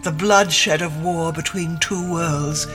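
Grave pleading guy in sci-fi audio drama, fairly high voice, loud music behind. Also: fairly even intonation, slow pace. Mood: urgent pleading.

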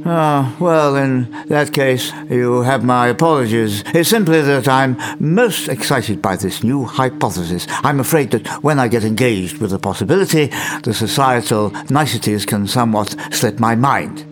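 An actor with an English accent playing a crotchety, brilliant old scientist in an audio drama, pompous and muttering, with old-fashioned phrasing. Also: male voice, mid-range voice, normal speed, a crowd in the background, close to an everyday voice. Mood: grudging. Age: older.

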